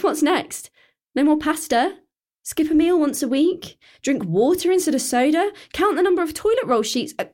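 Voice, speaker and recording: A woman's voice: high-pitched, a little mellow. A woman with a British accent playing an anxious, sensible teenage daughter in a naturalistic radio play, earnest and cautious. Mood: worried.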